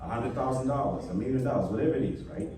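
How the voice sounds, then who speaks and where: A man's voice: mid-range voice, very fast. Man from Tanzania in an echoey hall. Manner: leads the room through a number exercise, checking in often. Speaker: panel speaker. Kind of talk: speaking to a live audience. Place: event hall. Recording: echoey hall from far off, crowd behind.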